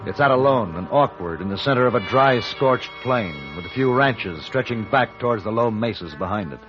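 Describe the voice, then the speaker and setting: An American actor playing a suave, self-assured hired gunfighter in an old-time radio Western, dry, urbane and terse. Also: male voice, medium pitch, lively intonation, fairly quick, over music, lightly acted. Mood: grim narrating. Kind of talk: old-time radio drama acting and first-person narration. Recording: dull, band-limited old radio recording.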